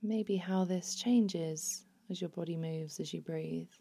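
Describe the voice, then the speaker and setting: A woman narrating a guided body scan meditation in a soothing, unhurried, hushed manner. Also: fairly low pitch, creaky, husky.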